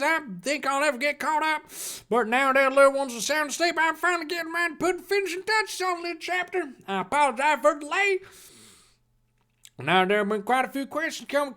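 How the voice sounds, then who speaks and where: Reader acting out a devout mommy author, very high-pitched, sharp, gushing, folksy, preachy. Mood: chirpy, apologetic. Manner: gushing, self-righteous, folksy.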